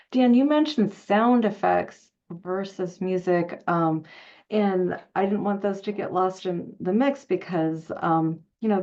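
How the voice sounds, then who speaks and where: Female interview host, effusive and conversational, with gravelly fry on dull audio. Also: on the low side, darkish tone. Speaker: panel host. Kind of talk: enthusiastic interview chat.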